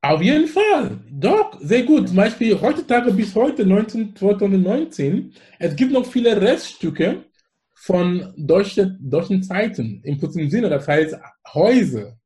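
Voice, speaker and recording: A man's voice: sits high, sing-song, a little slow, mellow. Effusive man with a Cameroonian accent. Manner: effusive, emphatic, fond of repeating phrases. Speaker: guest. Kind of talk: conversational interview.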